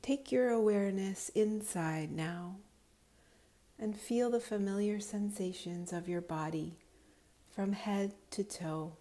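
A woman guiding a relaxation meditation in a calm, soothing, unhurried manner, her voice soft with breathy edges. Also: medium pitch. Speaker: yoga nidra guide.

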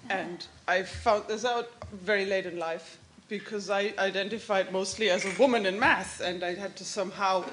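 A woman math professor speaking candidly about her life on a panel in a lecture room, open and animated. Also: medium pitch, moderate pace, few pauses, a little hiss or hum. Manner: open, animated, gestures while talking. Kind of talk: candid personal panel remarks.

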